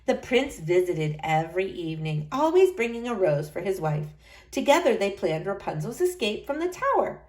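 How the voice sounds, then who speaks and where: A woman reading a fairy tale aloud as an expressive storyteller. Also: medium pitch, moderate pace.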